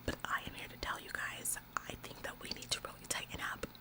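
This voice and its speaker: A woman's voice: fast, natural voice. A woman in a whispered ASMR roleplay, playing a bossy, officious store employee who repeats phrases for emphasis. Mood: self-important. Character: bossy, overzealous female retail store employee, falsely apologetic. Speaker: ASMR performer.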